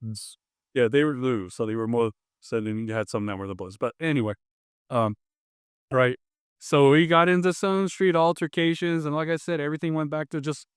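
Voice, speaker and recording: A man's voice: medium pitch, a little mellow, clear, resonant tone, no echo, clean studio sound. A man in his fifties, born in Tonga and raised in Los Angeles, telling stories as a martial arts instructor in a casual interview, rambling with many restarts and fillers.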